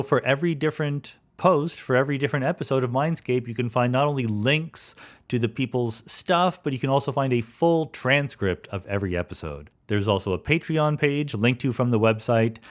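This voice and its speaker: A man hosting a podcast, talking in a conversational interview style. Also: mid-range voice. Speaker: podcast host.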